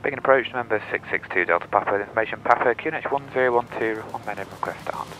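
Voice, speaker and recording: A young man, a pilot and vlogger, talking casually and making crisp procedural calls. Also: on the low side, quick pace, a bit bright, faint music behind, rough recording. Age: young adult. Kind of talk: casual vlog chat and radio calls.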